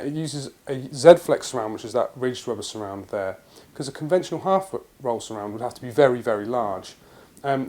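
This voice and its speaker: Male British presenter, mid-pitched voice, explanatory and matter-of-fact, semi-scripted review narration. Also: a little mellow. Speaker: presenter of product review videos.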